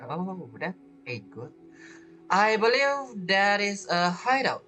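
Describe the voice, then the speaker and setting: A man's voice: high-pitched, big pitch swings, slow, sharp tone. A man giving casual let's-play commentary, reading game text aloud and repeating himself as he goes. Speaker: gaming streamer. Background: game music.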